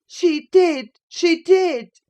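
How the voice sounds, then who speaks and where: A woman's voice: fairly high pitch, slow, a little mellow, voice pushed up. A woman voicing a sickly, petulant teenage boy in an audiobook, whining and self-pitying. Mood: taunting. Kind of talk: audiobook character reading.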